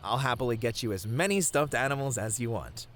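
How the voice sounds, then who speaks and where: A man playing a sweet, bashful young boyfriend in a romantic roleplay, talking to the listener in a tender, halting, earnest way. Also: mid-range voice, animated, slight background noise, lightly acted. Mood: playful, embarrassed. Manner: soft-hearted, halting, sighing, chuckling, gently earnest.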